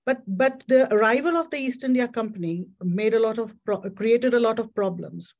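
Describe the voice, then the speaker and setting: A woman's voice: a little high, moderate pace, a little mellow. A woman, a club president-elect, gives a history talk over slides on a video call. She speaks conversationally, with many fillers and restarts.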